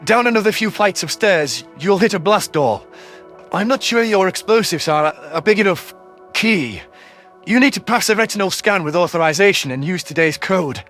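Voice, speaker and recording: A man's voice: sits high, animated, moderate pace, a bit bright, faint music behind. A man voicing a cautious alien informant in a science fiction audio story. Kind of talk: audio story character dialogue.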